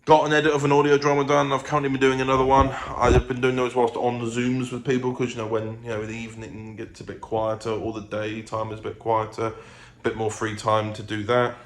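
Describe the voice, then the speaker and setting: A man's voice: mid-range voice, monotone, brisk, few pauses. A man chatting casually in a vlog, rambling and hesitant as he goes. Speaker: vlogger. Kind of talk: casual chat to camera. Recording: clear background noise.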